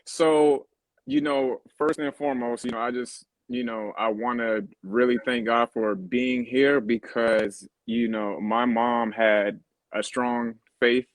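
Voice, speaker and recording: A man in his thirties, a guest in a casual interview, speaking haltingly with many fillers and restarts. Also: medium pitch, steady tone, no echo. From born in Germany on a US military base. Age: 30s.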